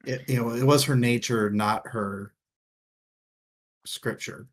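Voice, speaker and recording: A man with an average-pitched voice talks through a book in a casual, halting way. He takes his time, often searching for the next phrase, and drops in offhand swearing. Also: fairly even intonation. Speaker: co-host of a book discussion show.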